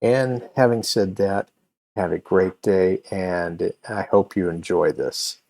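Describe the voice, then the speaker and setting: Slow-talking man with a lowish voice. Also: a little mellow. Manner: halting, deliberate. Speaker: host and comedy performer. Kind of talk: informal show introduction.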